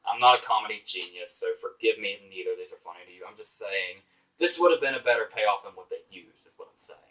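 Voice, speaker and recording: A man's voice: fairly low pitch, quick pace, pushed and bright. A man reviewing movies informally as a host, exasperated, emphatic and sarcastic, with casual swearing. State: voice getting hoarse. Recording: distant microphone.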